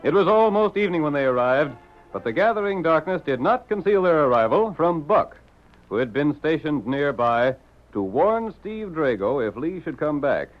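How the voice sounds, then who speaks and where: An actor as the dramatic narrator of a western radio serial, reading scripted narration. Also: male voice, on the high side, sing-song, normal speed. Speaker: announcer narrator. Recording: dull vintage radio with music.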